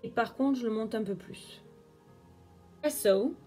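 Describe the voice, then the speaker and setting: A woman's voice: a little high, unhurried, mellow, soft background music. A young woman chatting through a makeup tutorial, explaining each step as she works. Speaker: beauty vlogger.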